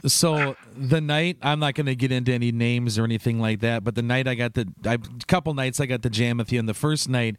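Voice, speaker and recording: A man's voice: mid-range voice, fairly quick, few pauses, smooth. Easygoing man hosting a casual chat. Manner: rambling, easygoing, laughs often. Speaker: podcast host.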